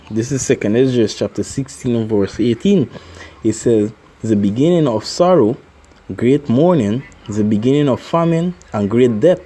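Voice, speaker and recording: A man hosts a religious commentary, reading scripture aloud at a slow pace. He breaks off often with fillers and keeps asking "right?" His voice is mellow and soft-edged. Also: mid-range voice.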